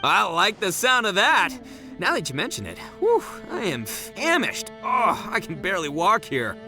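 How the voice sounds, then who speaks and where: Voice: medium pitch, huge pitch swings, moderate pace. An actor playing a brash, goofy young man in a video game, with a slangy, exclamatory way of talking. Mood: boisterous. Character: Itto, brash, boisterous, goofy young man, gang leader. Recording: faint music behind the voice.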